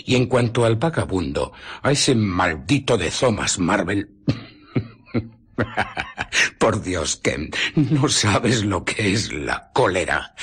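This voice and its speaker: A man with a Castilian Spanish accent playing an embittered, vengeful invisible scientist in a radio drama, brooding theatrically with pauses and breathy murmurs. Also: lowish voice, a bit bright, exaggerated voice. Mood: seething anger.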